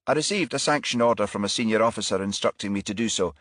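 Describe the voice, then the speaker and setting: A man's voice: lowish voice, fast, a bit bright, no room sound, studio quality, voice pushed up, lightly acted. A man voicing a dutiful junior male bank manager in a crime audiobook, formal and stiffly respectful. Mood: deferential.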